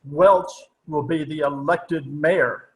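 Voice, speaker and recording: A man's voice: a little high, steady tone, takes it slowly, poor audio. A man playing a stubborn, stuffy older judge in old-time radio comedy, with a formal, pompous way of talking. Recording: online video-call recording.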